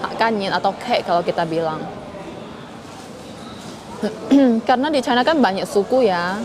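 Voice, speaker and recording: A woman's voice: medium pitch, animated, measured pace, noisy background. A young woman from Kalimantan with a Hakka-influenced Indonesian accent, chatting casually with viewers on a live stream. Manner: chatty, addresses viewers as friends.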